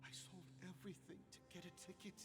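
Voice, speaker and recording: High, halting, desperate actor as stowaway man. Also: little pitch movement, natural voice. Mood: desperate, sorrowful. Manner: halting, fearful, pleading. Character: desperate, pleading poor male stowaway. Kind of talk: scripted game voice acting. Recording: long hall reverb, music behind.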